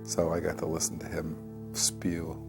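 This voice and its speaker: Deep, gravelly man telling his story. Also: completely flat delivery, measured pace, loud music behind the voice. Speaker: documentary interviewee. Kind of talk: personal storytelling, reflective interview testimony.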